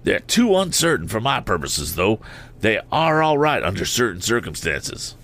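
A man voicing a seasoned, practical balloonist in an adventure audiobook, brisk and matter-of-fact. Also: on the low side, big pitch swings, a bit bright. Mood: dry. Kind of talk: audiobook character voice.

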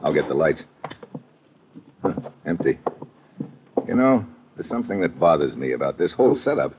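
An actor playing a tough, wisecracking American investigator in an old radio adventure, speaking in a wry, clipped, hardboiled way. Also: male voice, very deep voice, sing-song, normal speed, noisy background. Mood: groggy, determined. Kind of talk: 1950s radio adventure acting and first-person narration. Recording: muffled vintage radio recording with music.

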